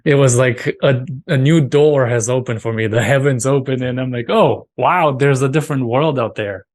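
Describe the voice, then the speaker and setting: A man's voice: mid-range voice, normal speed, darkish tone. A man from Romania, a guest in an interview, talking earnestly and often restarting his phrases. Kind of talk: casual interview talk.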